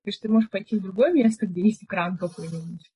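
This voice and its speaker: A young woman co-hosting a podcast, telling stories in a chatty, relaxed way with lots of filler words. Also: medium pitch, very fast, slightly rough. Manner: chatty storyteller, many fillers. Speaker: podcast co-host. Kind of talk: casual chat. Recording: dull, muffled recording.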